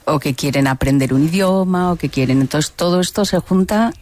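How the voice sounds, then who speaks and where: A woman French teacher explaining her view on a panel in a measured way. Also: sits low, darkish tone. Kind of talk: panel discussion.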